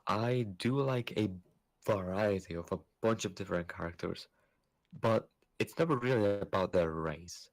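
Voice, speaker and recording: A man's voice: lowish voice, normal speed, rough recording. A man from Spain with a Spanish accent, chatting easily on a gaming livestream and chuckling often. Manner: easygoing, chuckling, trailing off mid-thought. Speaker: streamer. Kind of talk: casual gaming stream chat.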